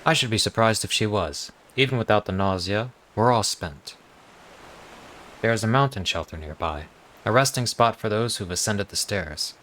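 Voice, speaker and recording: Low-voiced man reading in monotone. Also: normal speed, a little hiss or hum. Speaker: streamer reading game dialogue aloud. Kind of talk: flat read-aloud of game script.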